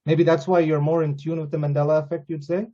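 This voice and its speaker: A man's voice: on the high side, flat delivery, quick pace, mellow. A man hosting a conversational interview, speaking in an agreeable, slightly hesitant way on a lo-fi recording. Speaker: interviewer and host. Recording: dull, lo-fi voice recording.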